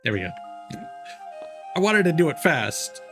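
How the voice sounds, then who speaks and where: A man's voice: fairly high pitch, big pitch swings, a little slow, a bit bright, soft background music. A man doing casual game commentary as a streamer, with joking asides and swearing. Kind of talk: game let's play commentary, reading dialogue aloud.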